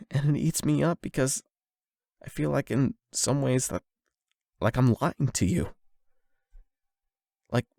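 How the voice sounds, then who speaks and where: A man performing an intimate confession roleplay as a nervous, lovestruck young man, speaking in a halting, self-doubting, conversational way into a close microphone. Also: mid-range voice, creaky, mellow, no echo. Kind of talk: emotional confession roleplay, ASMR-style monologue.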